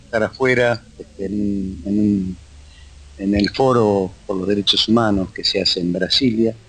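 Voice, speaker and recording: A man speaking haltingly as an interview guest in casual conversation. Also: on the low side, fairly even intonation, a bit bright, slightly rough, low-quality recording. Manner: halting, hesitant phrasing.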